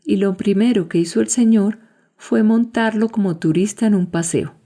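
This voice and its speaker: A woman's voice: mid-range voice, normal speed, mellow. A woman, narrating a scripted story for a podcast.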